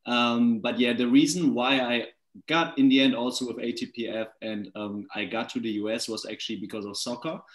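A man in his twenties from Germany with a German accent, speaking as a guest on a video call, relaxed with many fillers and restarts. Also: medium pitch, a little fast, roomy sound, poor audio. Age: 20s. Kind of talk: casual video call talk. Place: small indoor room at home. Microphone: laptop webcam on video call.

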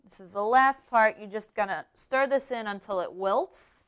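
A woman's voice: medium pitch, normal speed, a bit bright, clear, resonant tone. A woman, casually explaining cooking steps as a show host in a kitchen. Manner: explains step by step in chatty asides. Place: home-style kitchen, indoors.

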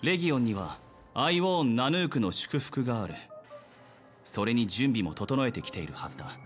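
An actor playing a stoic, serious young guard in a Japanese anime game, cool and matter-of-fact. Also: male voice, mid-range voice, very animated, brisk, faint music behind, lightly acted.

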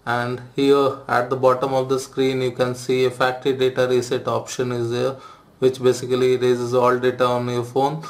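A man's voice: medium pitch, normal speed. A man hosting a phone how-to video, explaining steps with frequent "uh" fillers. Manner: hesitant, frequent "uh" fillers, flat delivery. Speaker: tech video host. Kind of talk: phone tutorial walkthrough.

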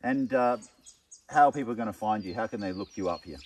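A man's voice: lowish voice, brisk, a little mellow. A man in his thirties with an Australian accent, hosting an upbeat outdoor vlog in a chatty, enthusiastic way. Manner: chatty, enthusiastic, uses "mate". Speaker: vlog host. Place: outdoors at a riverside bush camp. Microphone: camera mic from a few metres away. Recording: distant mic, faint outdoor noise.

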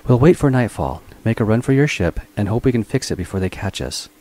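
A man voicing a sharp-tongued woman bounty hunter with a blunt, mocking manner. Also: lowish voice, animated, fairly quick, pitched above the performer's own voice. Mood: brisk.

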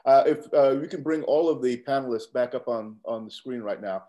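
A man's voice: mid-range voice, brisk, warm tone. An older man moderating a panel over a video call, talking with many hesitant fillers. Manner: hesitant, filler-heavy speech.

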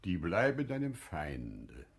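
A man playing a shrewd wise merchant in a classical radio drama, measured, careful and deferential. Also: low-pitched, wildly swooping pitch, creaky, slightly rough, exaggerated voice. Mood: measured, cautious. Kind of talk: classical stage verse radio drama acting.

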